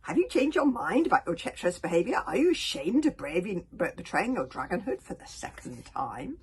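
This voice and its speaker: A woman's voice: lowish voice, sing-song, brisk, slightly rough, exaggerated voice. A woman doing a raging, bitter giant dragon. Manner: roaring, accusing. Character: furious huge male dragon, raging and bitter.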